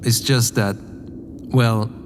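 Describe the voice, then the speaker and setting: A man's voice: mid-range voice, fairly even intonation, takes it slowly, big-room sound, background noise, natural voice. A man playing a meek, deferential and plaintive assistant to an overbearing boss in an audio drama. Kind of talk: comic audio drama acting.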